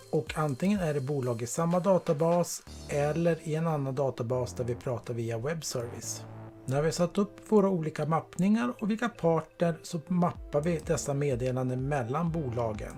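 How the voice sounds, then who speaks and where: A man narrating a scripted software tutorial as a product presenter, in a plain, explanatory way. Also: on the high side. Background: background music.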